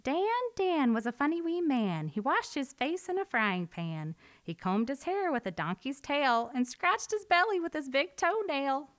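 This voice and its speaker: A woman voicing a tiny, clever, cheeky male wren in a storybook tale, in a squeaky singsong voice. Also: very animated, measured pace, few pauses, a bit bright, no echo, studio quality, exaggerated voice.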